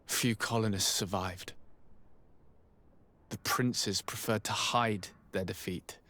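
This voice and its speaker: An actor playing a loyal, resolute young diplomat in a video game, speaking formally and earnestly. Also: male voice, lowish voice, fairly even intonation, takes it slowly, bright, forceful tone. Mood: grave recounting. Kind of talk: dramatic video game acting.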